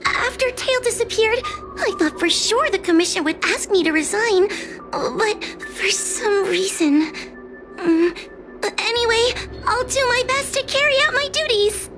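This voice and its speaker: A woman's voice: very high-pitched, expressive, unhurried, full character voice. A woman voicing a timid, anxious young girl in an anime game, hesitant and stammering but eager to please. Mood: nervous, eager. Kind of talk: anime game dialogue acting. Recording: music behind the voice.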